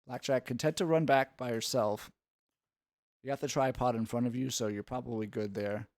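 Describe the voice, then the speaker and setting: A man's voice: medium pitch, steady tone, normal speed, darkish tone, no echo, clean studio sound. A man giving analytical play-by-play sports commentary in an instructive, explanatory way.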